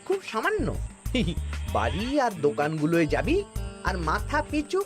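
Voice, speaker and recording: Sly cartoon minister, wildly swooping. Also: male voice, sits high, wildly swooping pitch, unhurried, full character voice. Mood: sly, conspiratorial. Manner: wheedling, conspiratorial, theatrical. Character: scheming greedy male royal minister, sly and oily. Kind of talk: comedy cartoon acting. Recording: music behind the voice.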